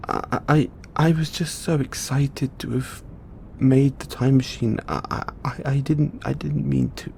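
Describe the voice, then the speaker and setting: Guy acting as geeky mad scientist boyfriend, slow stammery delivery, anxious but sweet, audio roleplay, bit of rumbly noise. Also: fairly even intonation, warm tone. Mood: sad, tender. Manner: halting, stammering, breathy hesitations.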